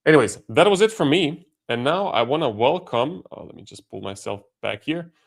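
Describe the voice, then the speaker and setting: Casting: male podcast host, mid-pitched, loose and chatty, laughs easily. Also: expressive, normal speed, gravelly. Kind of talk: casual video podcast chat.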